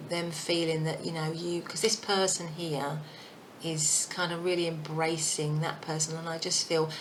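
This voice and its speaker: A woman giving a casual tarot reading, thinking aloud with many fillers, her voice croaky and husky. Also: sits low, flat delivery, moderate pace, a little hiss or hum, low-quality recording. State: croaky voice, clearing throat. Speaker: tarot reader.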